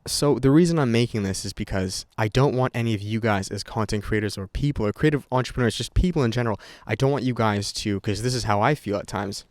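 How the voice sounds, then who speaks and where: Low-voiced young man vlogging, dry sound. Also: quick pace, hardly any pauses, dry recording. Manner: rambling, swears freely, many restarts. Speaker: content creator vlogger. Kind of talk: unscripted casual talk to camera.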